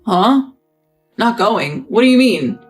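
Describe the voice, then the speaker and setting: A woman's voice: mid-range voice, lively intonation, takes it slowly, breathy edge, soft background music. A woman voicing a passive, indifferent young husband who talks in an offhand, shrugging way.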